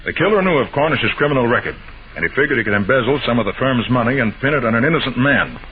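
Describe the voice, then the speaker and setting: An actor playing a clever, self-assured private detective in 1940s radio drama style, explaining briskly and methodically. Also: male voice, medium pitch, animated, lightly acted. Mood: probing, accusing. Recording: muffled, hissy old radio broadcast.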